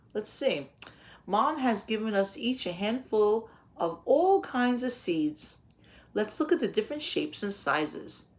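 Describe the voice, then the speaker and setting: A woman in her thirties, a teacher, reading a picture book aloud to young children and explaining words simply, with gentle questions. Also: mid-range voice. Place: small home office, indoors.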